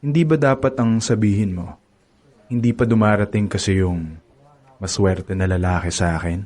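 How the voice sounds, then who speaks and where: A man's voice: on the low side, moderate pace, a little mellow, close to an everyday voice. A man playing a cocky young campus heartthrob. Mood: playful. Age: young adult. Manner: cocky, teasing, playful. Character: smug handsome young college heartthrob. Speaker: narrator and lead character. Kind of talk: radio drama acting.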